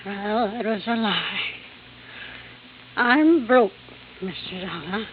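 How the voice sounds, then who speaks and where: A woman's voice: mid-range voice, a little hiss or hum, lightly acted. Frail genteel old lady, played by an actress, tinny radio. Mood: weary, confessional. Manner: halting, gracious, weary. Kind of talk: old-time radio drama acting.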